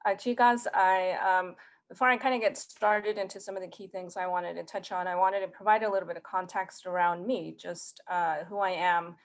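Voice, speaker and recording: Woman from Mexico, Spanish native speaker, thirties, conference talk, earnest, halting restarts, flat level pitch. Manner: earnest, hesitant, many fillers and restarts. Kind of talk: prepared career talk.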